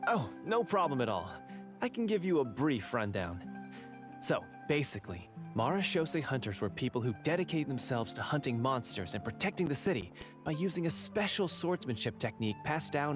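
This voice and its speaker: Courteous male guide, explanatory, animated, man voicing, game music. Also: mid-range voice, close to an everyday voice. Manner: polite, explanatory. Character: courteous male game club script manager.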